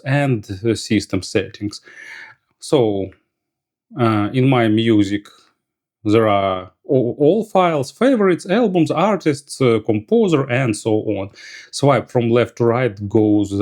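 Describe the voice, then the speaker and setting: A man giving a product review as an audio gear reviewer, speaking in a halting, hesitant way. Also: mid-range voice, a little mellow.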